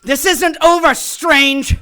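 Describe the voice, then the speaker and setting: A gloating villain, acted by a woman, speaks slowly in a high voice for a radio drama. The delivery is theatrical and taunting, savoring every cruel word. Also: fairly even intonation, sharp tone, heavily acted. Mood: menacing. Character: gloating sadistic male villain with magic staff. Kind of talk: audio drama acting.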